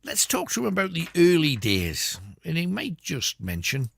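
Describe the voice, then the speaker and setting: Gravelly, lively radio host. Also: male voice, fairly high pitch, normal speed, no room sound, studio quality. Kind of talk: late-night radio chat. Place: radio studio.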